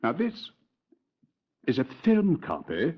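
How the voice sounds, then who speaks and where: A precise, lively-spoken pathologist. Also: male voice, a little high, takes it slowly, slightly rough, exaggerated voice. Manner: formal, precise, authoritative. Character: precise, formal male pathologist, confident expert. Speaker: Home Office pathologist. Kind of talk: 1950s radio drama acting. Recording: lo-fi vintage radio transcription.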